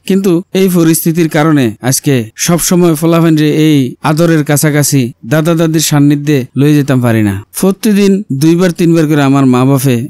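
A man's voice: on the high side, a little mellow, smooth, no echo. A man narrating his own vlog in an earnest storytelling voice. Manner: earnest storytelling, quotes his wife in dialogue. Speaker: vlogger narrating. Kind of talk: voice-over narration.

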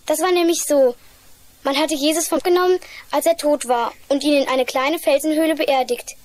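Earnest little child played by a woman, very high. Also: steady tone, normal speed, darkish tone, natural voice. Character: anxious, earnest small child. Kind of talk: 1980s children's radio play acting.

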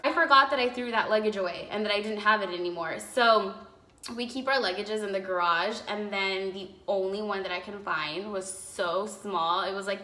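A young woman in her twenties chatting to camera in a vlog, rambling with lots of fillers, her voice slightly raspy from a cough, filmed on a phone in a living room. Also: mid-range voice, sharp tone, slight background noise. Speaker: vlogger. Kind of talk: casual chat to camera. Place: apartment living room, indoors. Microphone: phone camera in front, seated. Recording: echoey room on a phone mic.

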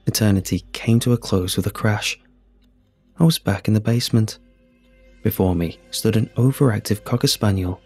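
A man narrating a scripted first-person horror story in a measured, solemn, husky voice. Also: fairly low pitch, a little mellow. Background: music.

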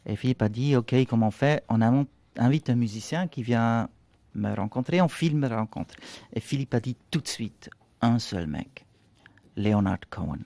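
A man's voice: medium pitch, lively intonation, moderate pace, vocal fry, mellow, dry recording. A middle-aged man from Switzerland with a Swiss German accent, a guest in a radio studio, searching for his words in French and restarting often. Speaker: guest singer. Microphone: studio desk microphone.

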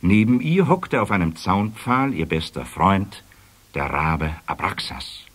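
A man with a fairly deep voice narrates a children's story. He takes his time, and his intonation rises and falls in lively, deliberate emphasis. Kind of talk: expressive children's fairy tale narration.

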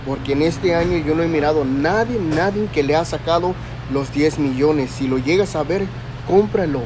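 A man with a Mexican accent chatting casually in slang to his followers, selfie-vlog style. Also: on the high side. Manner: slangy, with catchphrases and repeated appeals to followers. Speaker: social media lottery scratcher promoter. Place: small liquor store, indoors. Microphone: phone at arm's length. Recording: noisy store, phone recording.

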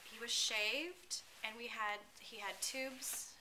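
A woman's voice: fairly high pitch, takes it slowly. A woman in her thirties giving an earnest, conversational testimonial with small restarts, filmed at home on a camera close to her face. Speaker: pet owner giving a testimonial. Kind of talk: casual spoken testimonial. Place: small room at home, indoors. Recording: noisy home video recording. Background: steady background noise.

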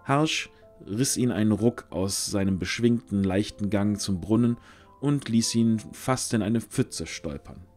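A man narrating a scripted fantasy audio drama, using dramatic pauses. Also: on the low side, darkish tone. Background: music.

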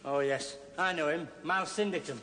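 A brusque officer, played by a man in a historical drama. He barks clipped orders in a fairly high voice across a noisy, echoing hall. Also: brisk, lightly acted. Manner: barking, clipped. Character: brusque army officer processing prisoners.

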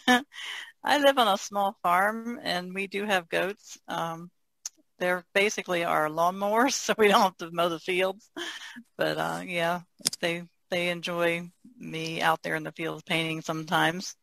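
A woman's voice: fairly low pitch, fairly even intonation, a little slow, vocal fry, fairly bright tone, no echo. A woman from the Chesapeake Bay region chatting casually and easily as a painter. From Chesapeake Bay region, United States. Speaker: painter being interviewed. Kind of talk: casual chat.

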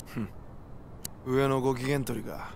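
Gruff, short-tempered young yakuza man. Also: medium pitch, fairly even intonation, unhurried, a little mellow, slight background noise. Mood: curt. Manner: gruff, rough street slang, scolding. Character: short-tempered young male yakuza, bossy toward juniors. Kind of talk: video game cutscene acting.

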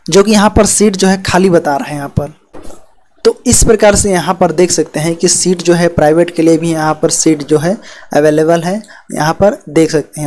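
A man's voice: fairly high pitch, darkish tone. Man explaining a document on screen. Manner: repetitive, fills with "yahan par" and "theek hai". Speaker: education channel host. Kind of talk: screen-share explainer walkthrough. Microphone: voice-over on screen recording.

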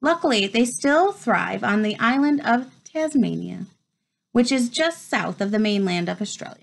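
Higher-pitched woman reading a children's book aloud. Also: lively intonation, moderate pace, vocal fry. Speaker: read-aloud presenter. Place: small furnished room at home, indoors.

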